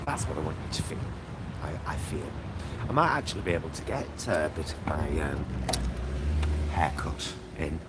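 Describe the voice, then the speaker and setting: A man talking casually to viewers in a halting, reflective ramble, often ending thoughts with little tag questions. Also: sing-song. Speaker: vlogger. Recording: loud vehicle and street ambience noise. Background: loud vehicle rumble and ambience.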